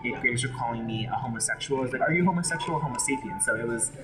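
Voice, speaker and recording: A man's voice: medium pitch, racing, rough recording. Casual: guy from hawaii spilling his story, blunt and emotional, keeps restarting, big music in the background. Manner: frank, emotional, self-interrupting. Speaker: interviewee. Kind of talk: candid personal story.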